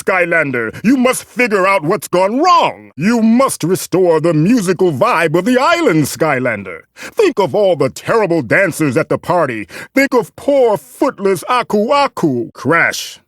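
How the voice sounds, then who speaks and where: A man's voice: a little high, no echo, studio quality. A man voicing a fussy, theatrical mystical guide in a cartoon video game, formal and earnest in delivery. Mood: alarmed.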